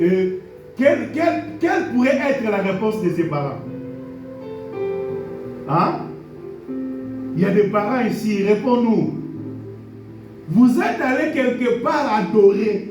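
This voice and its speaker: High-voiced pastor preaching slow, mellow tone, boomy church hall with soft music behind. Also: male voice. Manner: preaching with call-and-response amens; repeats key phrases and blessings. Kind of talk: sermon. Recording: echoey hall from far off.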